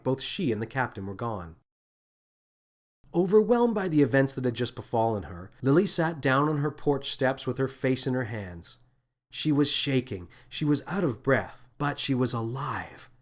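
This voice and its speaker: A man reading a scripted story as its narrator, with a medium-pitched voice. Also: sing-song, normal speed. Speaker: author reading own story.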